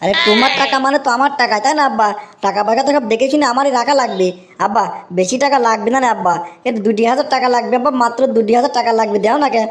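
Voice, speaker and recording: Cartoon schemer, broke young guy with a Bogura accent, voiced by a woman; high and rapid. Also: a little hiss or hum, lightly acted. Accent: Bogura dialect of Bangla. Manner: fast, whiny and wheedling, comic rants. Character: scheming broke young man, boyfriend and son.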